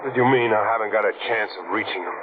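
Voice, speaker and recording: An actor playing a wary, stubborn adventurer in a 1940s radio thriller, terse and hard-boiled in narration and dialogue. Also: male voice, fairly low pitch, rapid-fire, natural voice. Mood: suspicious. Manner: terse, tough, suspicious. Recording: tinny, noisy 1940s radio recording.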